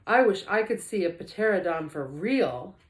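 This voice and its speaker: A woman's voice: mid-range voice, animated, a little slow, close to an everyday voice. Woman reading as a cautious young storybook boy, echoey. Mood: curious, amazed. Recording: echoey room.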